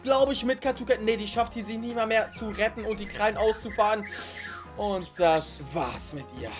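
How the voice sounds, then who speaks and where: A gaming video host giving excited gameplay commentary, exclaiming often and talking directly to the viewers. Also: mid-range voice.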